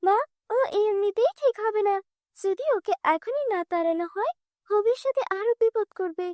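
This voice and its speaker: A spiteful, scheming cartoon sister, voiced by a woman with a very high voice. She urges insistently in a dry recording. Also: lively intonation, moderate pace, a little mellow, no echo.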